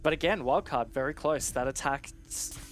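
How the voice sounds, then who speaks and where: A man's voice: medium pitch, moderate pace, fairly bright tone. A man giving live analytical commentary on an esports match in a conversational, explanatory way.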